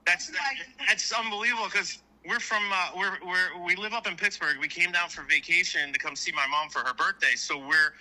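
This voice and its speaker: A man from southwestern Pennsylvania, a prize winner on the phone, talking haltingly with many fillers and restarts. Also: high voice, fairly even intonation, bright, forceful tone, low-quality recording. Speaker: caller, giveaway winner. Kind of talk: phone conversation.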